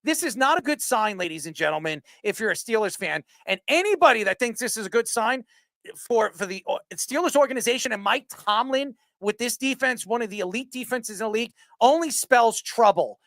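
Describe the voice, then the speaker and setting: A sports talk show host giving opinionated commentary in an emphatic, declamatory way with a creaky voice. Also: mid-range voice, lively intonation, brisk, fairly bright tone, dry recording.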